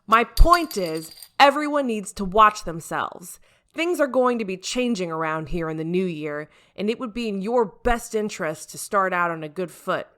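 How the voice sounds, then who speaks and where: A woman voicing a gruff, irritable male fire station captain in an audiobook, with a loud, emphatic, bossy delivery. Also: medium pitch, lively intonation, moderate pace, close to an everyday voice. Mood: annoyed, bossy.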